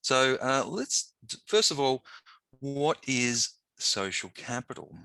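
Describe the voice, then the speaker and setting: A man presenting a webinar as a teacher of the deaf, slightly hoarse and talking informally with frequent pauses and fillers. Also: medium pitch, big pitch swings, pushed and bright, dry recording, rough recording. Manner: informal, conversational, with frequent ums and restarts.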